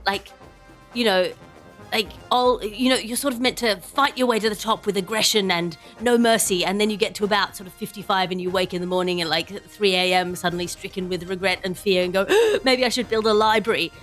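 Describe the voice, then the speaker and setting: A woman's voice: mid-range voice, steady tone, a little fast, a bit bright, soft background music. A woman hosting a comedy news podcast, wry and wordy, moving between mock-grandiose scripted lines and loose riffing. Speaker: podcast host.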